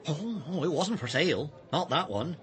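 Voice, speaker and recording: An actor playing a talkative, down-to-earth village pub landlord with an English accent in a radio drama, chatty and folksy. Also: male voice, on the high side, sing-song, normal speed, fairly bright tone. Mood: reasoning.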